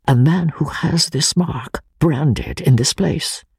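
Man acting a husky, anguished foreign gentleman, confessing. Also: a little high, takes it slowly, dry recording, studio quality, pitched above the performer's own voice, full character voice. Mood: hushed, grave. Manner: hushed, emphatic, solemn pleading. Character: anguished foreign gentleman confessing secret brotherhood, honour-bound and fearful. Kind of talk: dramatic audiobook reading of classic novel.

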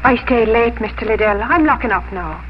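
An actress playing a gentle but firm young farm daughter, composed and earnest, in 1950s radio drama style. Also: female voice, a little high, expressive, moderate pace, close to an everyday voice. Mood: polite, guarded. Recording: noisy, muffled 1950s radio recording.

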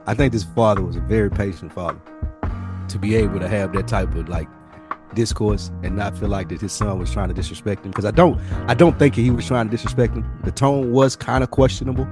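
A man's voice: on the low side, fairly even intonation, fairly quick, music in the background. A man hosting a podcast in casual, slangy banter, teasing and swearing freely. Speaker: podcast host.

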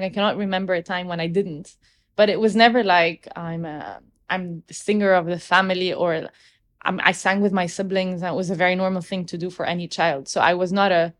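Young woman from egypt being interviewed, thoughtful, keeps restarting her sentences. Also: fairly low pitch. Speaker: guest, opera singer. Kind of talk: casual interview conversation.

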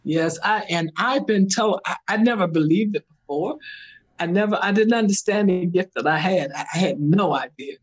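A middle-aged woman, telling stories as a meditation guide in an interview, and she laughs often and slips into high-pitched voices when she quotes someone. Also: moderate pace, breathy edge, lo-fi sound. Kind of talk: animated conversational storytelling.

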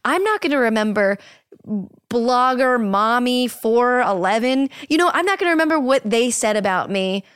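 A woman in her twenties hosting a podcast, chatty and encouraging, swearing casually in relaxed talk. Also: fairly high pitch, moderate pace, clear, resonant tone, dry recording, clean studio sound. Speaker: podcast host.

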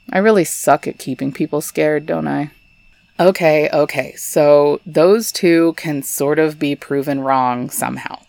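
A woman's voice: deep voice, a little mellow, no room sound. A young adult woman from Texas, hosting a scripted storytelling podcast with chatty, wry asides. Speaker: podcast host.

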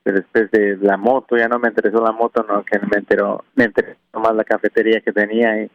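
A man from Guatemala, a native K'iche' speaker, recounts his path to owning a restaurant in a slow, pausing way full of fillers. His low, flat voice has a gravelly edge. He is heard over a dull, dry phone line. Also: dry recording. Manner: unhurried, hesitant storytelling with many pauses and fillers. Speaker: restaurant owner, guest. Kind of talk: phone interview.

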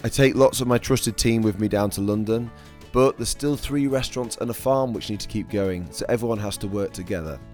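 Croaky, lowish male chef-host, upbeat read, faint music under him. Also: fairly quick, a little mellow. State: croaky, losing his voice. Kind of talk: scripted podcast narration.

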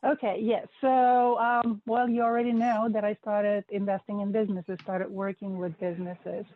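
A woman who learned English as an adult, a guest answering interview questions in a relaxed way, laughing easily and pausing to think. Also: mid-range voice, no echo. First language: not English. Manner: relaxed, laughing, thoughtful pauses. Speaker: podcast guest, investor. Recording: dry thin phone-like audio.

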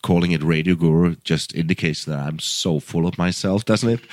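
A man's voice: sits low, noisy background. A Danish man in his fifties, a radio producer and podcaster, telling his story as a guest with frequent restarts and repeated words, speaking English as a second language. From Denmark. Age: 50s. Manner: repeats words and restarts often, chuckles, animated when quoting himself. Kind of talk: casual interview storytelling.

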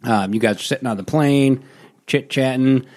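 A man's voice: mid-range voice, moderate pace, a little mellow. A man co-hosting a casual podcast and leading a tabletop role-playing game, upbeat and guiding. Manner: upbeat, guiding, laughs easily. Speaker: co-host and game master.

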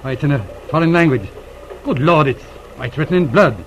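An actor playing a steady, practical mounted police inspector, speaking in clipped, matter-of-fact sentences in an old-time radio drama. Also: male voice, fairly high pitch, brisk, highs cut off, slight background noise, close to an everyday voice. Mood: tense, grave.

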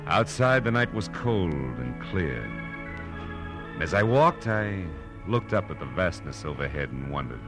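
Tough world-weary detective, very low voice, wry clipped delivery, old-time radio drama, music behind. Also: male voice, big pitch swings, unhurried, full character voice. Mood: brooding narration. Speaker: lead actor and first-person narrator of a detective radio drama. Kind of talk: hard-boiled 1940s-50s radio acting, scripted narration. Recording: vintage radio recording with music.

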